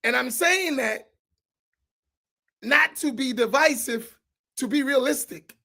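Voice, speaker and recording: A man's voice: very high voice, creaky, pushed and bright. A middle-aged man from the United States, an imam speaking on a roundtable panel in an emphatic, preacherly way, often checking in with the audience with rhetorical questions.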